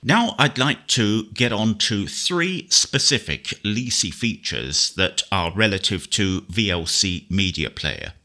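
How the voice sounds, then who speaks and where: A man with a fairly low but bright, forward voice walks through software as a tutorial presenter. His pitch moves around a lot, and he explains things in a casual, conversational manner. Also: lively intonation, slow, hardly any pauses. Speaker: presenter demonstrating screen reader software.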